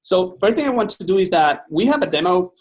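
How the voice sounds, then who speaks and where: A man with a Spanish accent presenting a software demo on a web call, relaxed, with many fillers and restarts. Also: sits high, brisk. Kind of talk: webinar product demo.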